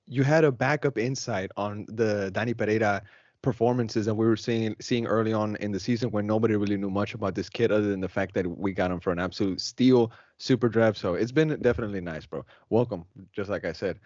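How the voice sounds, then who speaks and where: Upbeat slangy podcast host, lo-fi. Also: male voice, on the low side, fairly even intonation, brisk, darkish tone, no room sound. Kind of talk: casual chat. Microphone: video call. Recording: dull lo-fi sound.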